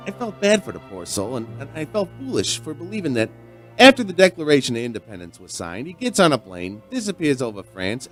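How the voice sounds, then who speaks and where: A folksy bartender in a radio drama. His delivery is lively and swings widely in pitch, chatty and conversational like someone spinning a yarn. Music plays under his voice. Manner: conversational, storytelling. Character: folksy, chatty male bartender telling his strange tale. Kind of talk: audio drama narration and dialogue.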